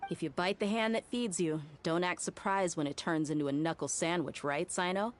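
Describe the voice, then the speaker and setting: A woman as a low-voiced, outspoken tough woman. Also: normal speed, slight background noise, lightly acted. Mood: indignant. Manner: blunt, challenging. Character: tough, outspoken adult woman, skeptical and combative. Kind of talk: video game voice acting. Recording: game music under the voice.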